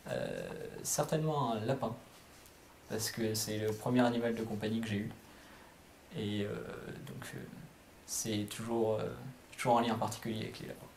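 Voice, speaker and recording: A man's voice: on the low side, steady tone, moderate pace, a little mellow, slight background noise. A man of twenty-one, a camp counselor, answering interview questions casually and hesitantly, with lots of filler sounds.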